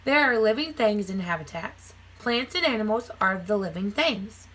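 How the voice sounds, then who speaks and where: A woman with a bright, crisp-edged voice at a medium pitch reads aloud like a primary school teacher. She phrases things simply for young children and slips between book sentences and casual explanation. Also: animated, moderate pace, poor audio. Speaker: teacher reading aloud to a class. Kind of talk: children's nonfiction read-aloud with casual asides.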